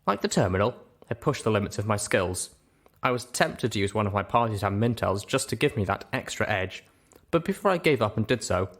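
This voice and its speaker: A man narrating a story expressively as host of a reading show. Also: lowish voice.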